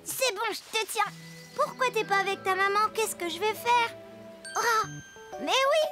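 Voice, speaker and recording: Little cartoon boy, very high voice, unhurried, earnest, eager, loud music underneath. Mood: amazed. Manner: eager, emphatic, childlike. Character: earnest, excitable little boy who pleads and frets. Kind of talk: French cartoon dub.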